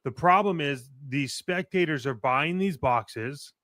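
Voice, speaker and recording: A man with a somewhat high-pitched voice chats as a podcast co-host. He is blunt and argumentative and swears freely. The sound is close and very dry, with no room echo. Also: a little slow, pushed and bright. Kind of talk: casual podcast chat.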